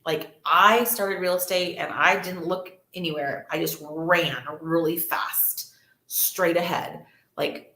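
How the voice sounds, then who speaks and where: A woman's voice: lowish voice, sharp tone, echoey room. A woman, a real estate agent chatting casually and upbeat to livestream viewers, repeating words for emphasis.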